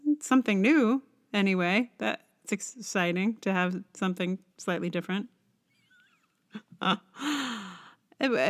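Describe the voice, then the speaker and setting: Expressive middle-aged woman podcaster. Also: lowish voice. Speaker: podcast host and writer. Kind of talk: personal podcast, chatting and reading own blog aloud.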